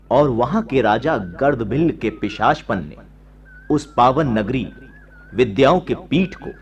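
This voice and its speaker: An actor playing a serene, resolute monk teacher in a radio play, solemn and declamatory in formal, elevated diction. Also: male voice, medium pitch, creaky, lo-fi sound.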